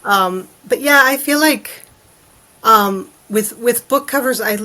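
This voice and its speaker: A woman's voice: a little high, takes it slowly, bright, forceful tone, rough recording. A woman guesting on a podcast as an artist, explaining casually and acting out imagined reactions as little quotes.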